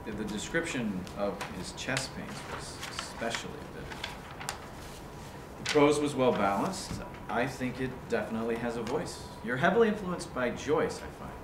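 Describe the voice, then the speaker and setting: Man acting an animated, bookish critic, roomy sound. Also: mid-range voice, normal speed, lightly acted. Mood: coolly critical. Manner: precise, formal, bookish phrasing. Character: blunt, articulate male writer critiquing a younger writer's story. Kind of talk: staged reading of a screenplay. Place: indoor room at a staged reading. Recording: roomy, noisy live recording.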